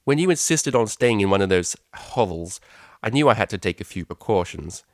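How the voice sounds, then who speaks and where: A man's voice: on the low side, animated, brisk, dry recording, clean studio sound. A man voicing a haughty, commanding pony mother with a clipped, condescending manner, in a story reading. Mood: stern.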